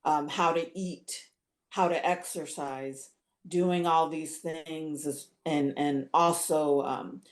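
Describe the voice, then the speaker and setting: Slow, low-voiced woman in a roomy space. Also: steady tone. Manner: halting, searching for words. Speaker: patient sharing her story. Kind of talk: emotional interview answers. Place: home room. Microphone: video call webcam. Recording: roomy webcam sound.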